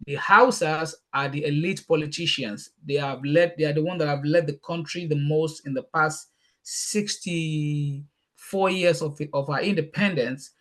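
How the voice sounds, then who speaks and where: A man from Nigeria with a Nigerian accent, whose first language is Yoruba, speaking as a guest on a leadership panel and talking passionately. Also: a little high. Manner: passionate, fast and emphatic, repeating words when excited. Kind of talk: panel talk answering questions.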